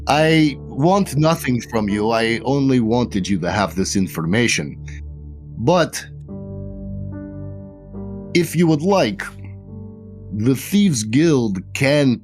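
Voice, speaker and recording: A man voicing a grave, measured benefactor tied to a thieves guild, speaking slowly and carefully. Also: medium pitch, darkish tone. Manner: slow, careful, deliberate warnings.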